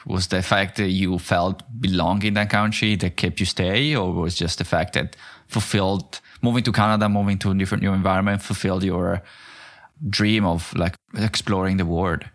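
A man's voice: sits low, steady tone, moderate pace. A man who interviews a guest, asking curious, long winding questions full of "like". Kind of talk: conversational interview.

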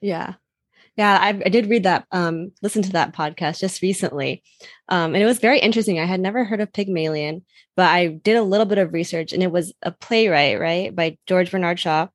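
A woman's voice: fairly low pitch, a little fast, no echo, studio quality. A woman co-hosting an interview, chatting casually and putting questions in a slightly tentative, conversational way. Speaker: podcast co-host, interviewer. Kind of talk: casual chat, asking questions.

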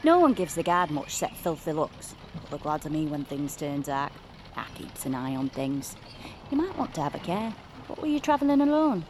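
An actress playing a wary, cheeky working-class Georgian coach guard, talking bluntly in rustic dialect in an audio drama. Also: female voice, low-pitched, very animated, moderate pace, a little mellow, a little hiss or hum.

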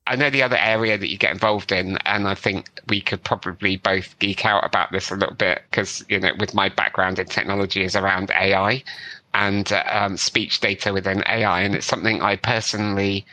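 A man's voice: low voice, fairly even intonation, fairly quick, sharp tone, no echo, rough recording. A man from the West Country with a neutral British accent, hosting a conversational podcast interview in a chatty way, with frequent restarts. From West Country, England. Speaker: podcast host.

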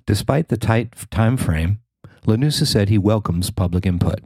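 Low-voiced man reading local news. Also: expressive, normal speed, darkish tone, slightly rough, dry recording, studio quality. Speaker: podcast host and reporter. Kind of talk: scripted news narration.

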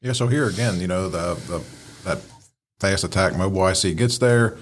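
A man with a low voice talks through fire tactics as a podcast instructor. He is conversational and explanatory, letting his sentences wander as he analyzes. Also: moderate pace, a little hiss or hum. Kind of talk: casual analytical commentary on fireground radio traffic.

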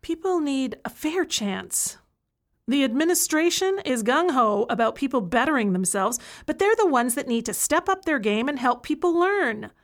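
An actress playing an incarcerated woman who tutors other prisoners, speaking frankly and earnestly in an audio play monologue. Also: high voice. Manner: earnest, frank, building to a plea for change.

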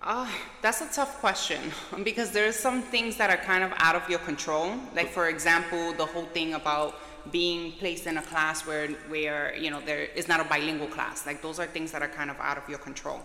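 A woman school social worker, a native Spanish speaker raised partly in Puerto Rico, recalling her childhood in a soft, hesitant way with many fillers. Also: low voice, steady tone, normal speed, few pauses, reverberant, big-room sound. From New York, with childhood in Puerto Rico. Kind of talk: interview, personal recollection.

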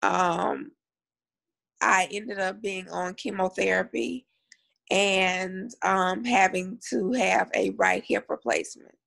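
A woman from north Louisiana, a guest on a remote call, answering interview questions in a soft, measured way with pauses and fillers. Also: mid-range voice, fairly even intonation, creaky. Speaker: guest, cannabis advocate and educator.

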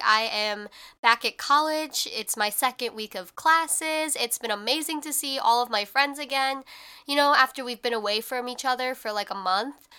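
A woman's voice: high-pitched, pushed and bright, clear, resonant tone. A young woman hosting her podcast in casual chat, congested and a little nasal, talking in a chatty way with lots of "like". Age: young adult. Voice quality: more nasal than usual. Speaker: podcast host.

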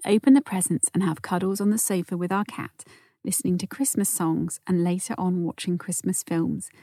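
A woman hosting a calm podcast, gently reading out listener messages with quiet near-whispered asides. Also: medium pitch, no echo, studio quality. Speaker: podcast host.

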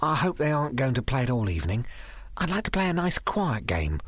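A man's voice: mid-range voice, big pitch swings, a little fast, fairly bright tone, dry recording, clean studio sound, voice pushed up. A man reading a storybook voices a genteel, uneasy woman who talks in a polite, confiding way.

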